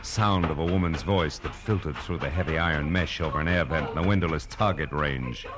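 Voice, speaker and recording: A man's voice: a bass voice, fairly quick, over music. An American man playing a hard-boiled, sardonic private detective in a 1940s radio crime drama, with clipped, tough delivery. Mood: tense narration. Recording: noisy vintage radio recording.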